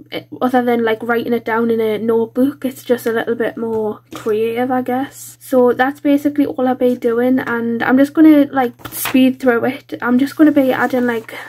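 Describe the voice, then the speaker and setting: A woman's voice: on the high side, level tone, moderate pace, warm tone, slight background noise. A British woman with a blocked-up nose from a cold, chatting casually and informally as she hosts a craft vlog. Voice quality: congested, nasal. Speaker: colouring channel host.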